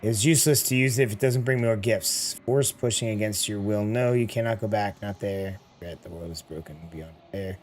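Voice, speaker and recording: A man dropping his voice to read as an ominous, formless cosmic entity, in a slow, portentous way. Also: on the low side, a little hiss or hum, pitched below the performer's own voice, close to an everyday voice.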